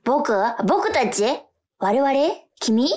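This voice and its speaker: A woman's voice: high-pitched, big pitch swings, extremely slow, darkish tone, heavily acted. A woman playing a bewildered soul in an absurd poetic radio drama, speaking in playful, plaintive fragments.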